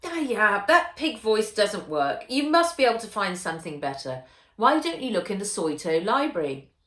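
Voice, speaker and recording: A woman's voice: mid-range voice, sing-song, normal speed, fairly bright tone, pitched above the performer's own voice. A woman voicing a cheeky, opinionated, fashion-loving girl in a children's audiobook, bossy and teasing. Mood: critical. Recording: roomy echo.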